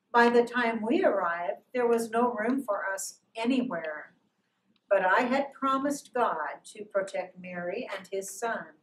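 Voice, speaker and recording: A woman narrates a scripted devotional story for a puppet show, reading aloud in measured short phrases. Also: a little high. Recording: echoey room from far off, noisy.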